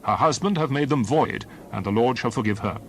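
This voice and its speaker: A man's voice: mid-range voice, animated, brisk, fairly bright tone, a little hiss or hum. A man playing Moses as a stern, authoritative lawgiver, declaiming emphatically in a dramatized scripture reading. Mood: solemn instruction.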